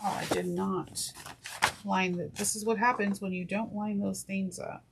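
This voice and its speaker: Woman, nasal and stuffed up, gravelly texture; chatty, self-correcting craft teacher; clear background noise. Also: mid-range voice, steady tone, measured pace. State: congested. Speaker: sewing instructor. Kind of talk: step-by-step craft tutorial. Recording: noisy with clicks.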